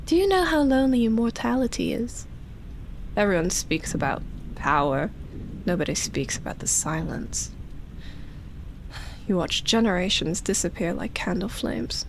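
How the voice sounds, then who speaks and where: A woman voicing an ancient, lonely vampire in love, speaking softly and intimately with sighs, whispered words and lingering pauses, as in roleplay ASMR. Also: medium pitch, very animated, slight background noise, low-quality recording. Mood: melancholy, confiding. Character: lonely, obsessive female vampire, ancient, tender and teasing.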